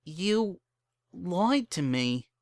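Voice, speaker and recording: A woman's voice: on the low side, big pitch swings, extremely slow, darkish tone, dry recording, studio quality, pitched above the performer's own voice, full character voice. A woman voicing a timid, anxious young female pony in a dramatic story reading, hesitant and pleading. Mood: hurt.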